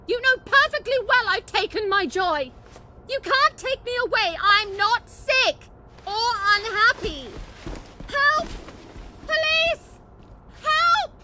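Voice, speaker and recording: A woman's voice: very high voice, bright, forceful tone, slight background noise, heavily acted. An actress playing a defiant woman reporter in a video game, protesting emphatically and insistently. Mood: desperate.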